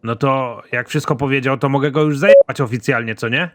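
Gruff, cocky cartoon army major done by a man. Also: medium pitch, brisk, a little hiss or hum, voice pushed down, lightly acted. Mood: deadpan, stubborn. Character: crude, trigger-happy army major, dim and cocky man. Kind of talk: animated comedy series dialogue.

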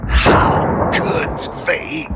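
An actor playing a grim, obsessive, proud male vigilante, gravelly and brooding, in a dark comic-book drama. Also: loud music behind the voice. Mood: anguished, angry. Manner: brooding, terse, deliberate.

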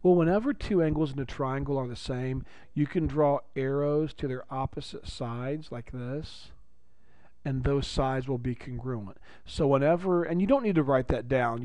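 A man with a raspy voice teaching a geometry lesson, upbeat and chatty, repeating key phrases for his students. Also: medium pitch, darkish tone, no echo. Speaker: math teacher. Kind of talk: recorded classroom lecture.

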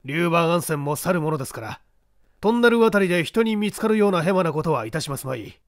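A man voicing a cunning gentleman thief in an audiobook, speaking politely and assertively while posing as a victim. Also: fairly high pitch, lively intonation, fast, no echo, studio quality. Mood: urgent, assured. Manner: polite, smooth-talking, assertive. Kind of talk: audiobook character acting.

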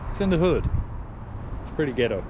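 A man's voice: on the high side, a little fast, lo-fi sound, noisy background. A young Danish man, Danish his first language, chatting casually to an action camera on a travel vlog. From Denmark. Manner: relaxed, chatty, talking to camera. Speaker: vlogger. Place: large store indoors and parking garage. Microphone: action camera held close.

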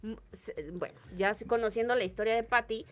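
A woman's voice: fairly high pitch, fairly quick. A young woman with a Mexican accent co-hosting a celebrity gossip radio show, chatting casually and retelling scenes with quoted voices. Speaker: entertainment show co-host. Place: small radio studio booth. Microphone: studio mic on desk stand.

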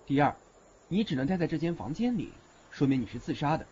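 A man's voice: fairly high pitch, big pitch swings, fast talker, darkish tone, slight background noise. A man acting an aloof, grumbling young university student in an audio drama, with a blunt, exasperated manner. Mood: cool, blunt. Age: young adult. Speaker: lead actor in a Chinese audio drama. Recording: slightly dull recording with music behind.

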